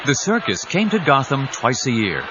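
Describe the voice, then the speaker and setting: A man's voice: mid-range voice, wildly swooping pitch, normal speed, very noisy. A man narrating an audio drama in an emphatic, suspenseful storytelling style. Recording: dull 1990s cassette audio with music.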